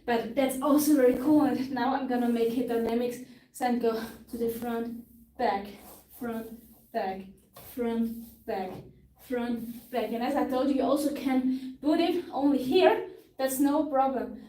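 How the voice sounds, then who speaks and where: A woman's voice: on the high side, steady tone, a little slow, dark tone. A young woman with a Tyrolean Austrian accent, a native German speaker, guiding a live stretching class and explaining each move as she demonstrates it, sometimes searching for English words. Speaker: stretching instructor. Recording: echoey room from far off, faint noise.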